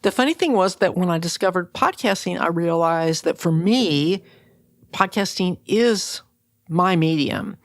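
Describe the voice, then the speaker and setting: A woman interviewer with a noticeably creaky, slightly low voice chats informally as a podcast host. Her delivery is plainspoken and casual, and the recording is dry and close. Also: expressive, unhurried, no echo.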